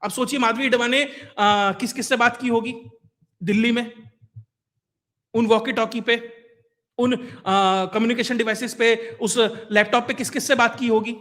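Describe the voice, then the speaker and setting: High-pitched man, bright and emphatic. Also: little pitch movement, normal speed. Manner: emphatic, rhetorical questions and lists. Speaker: commentator and host of an online current affairs show. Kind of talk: explanatory news commentary, conversational.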